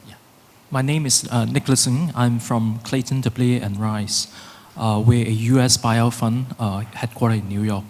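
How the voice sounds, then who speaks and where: Man, panelist, hesitant with fillers, mid pitch, long hall reverb. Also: fairly even intonation, darkish tone. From Hong Kong. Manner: halting, many filler pauses. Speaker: conference panelist, fund managing director. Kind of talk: panel self-introduction. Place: conference hall.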